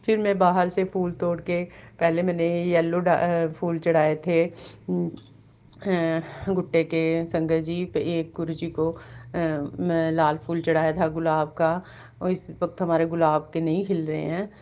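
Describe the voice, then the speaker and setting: A woman with a sore throat sharing a devotional testimony, halting with many fillers and repeated blessings. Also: on the low side, level tone, dark tone, dull audio. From Jammu.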